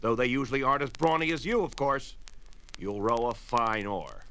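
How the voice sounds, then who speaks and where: An actor playing a cruel, gloating slave-ship deckmaster with a barking, sneering delivery in a 1970s audio drama. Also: male voice, mid-range voice, lively intonation, normal speed, vocal fry, sharp tone, slight background noise. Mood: barking, scornful.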